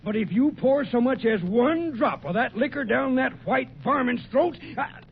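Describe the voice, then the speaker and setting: An actor playing a superstitious, quick-tempered prospector in an old radio western, with an American accent and excitable, folksy speech. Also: male voice, very high voice, vocal fry, heavily acted. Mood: angry, stubborn. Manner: excitable, folksy backwoods grammar. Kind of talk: 1940s radio western acting. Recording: muffled, noisy old radio recording.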